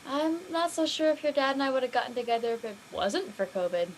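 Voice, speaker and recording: A woman playing a calm middle-aged mother recalling her youth in a drama reading, explaining matter-of-factly. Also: high-pitched, fast, slight background noise, rough recording. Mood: reflective.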